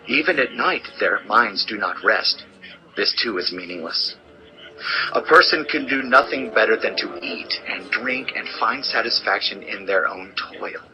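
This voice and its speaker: A man's voice: mid-range voice, dull audio, lightly acted. An actor playing a world-weary, wise old king in a solemn, theatrical dramatized scripture reading. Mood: bitter, despairing, then solemn, subdued. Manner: solemn, deliberate, theatrical delivery. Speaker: audio Bible narrator and actor.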